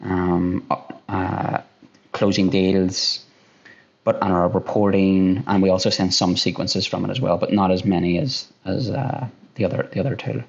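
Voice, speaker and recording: A man in his thirties with an Irish accent, a sales director answering interview questions on a video call, talking fast and restarting often. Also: deep voice, steady tone, vocal fry, breathy edge. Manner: fast-talking, repeats himself, many restarts. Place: room at home by a window. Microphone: headphones on a video call. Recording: dull lo-fi call audio.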